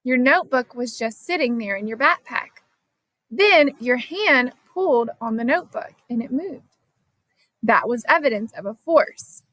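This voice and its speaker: Female reader as eager schoolboy in a children's storybook, high-ish voice, explaining. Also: expressive, unhurried, fairly bright tone, no echo, rough recording. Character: Lee, keen schoolboy hunting for evidence.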